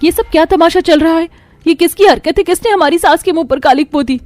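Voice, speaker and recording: A woman voicing an indignant young daughter-in-law in a cartoon story, sharp and demanding. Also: extremely high pitch, fairly quick, no room sound, voice pushed up. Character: indignant young pregnant daughter-in-law defending her elder.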